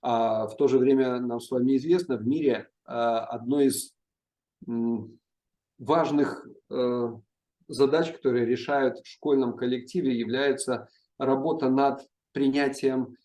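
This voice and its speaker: A middle-aged man speaking as a guest professor in an interview, answering in a measured way with many pauses and hesitations. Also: medium pitch, fairly even intonation, warm tone.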